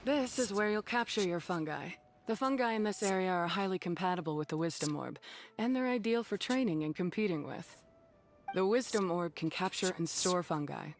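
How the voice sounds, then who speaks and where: A woman's voice: on the low side, lively intonation, moderate pace. A woman playing a blunt, matter-of-fact, self-deprecating beast tamer in a video game. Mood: instructive. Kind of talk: English video game voice acting. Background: game music.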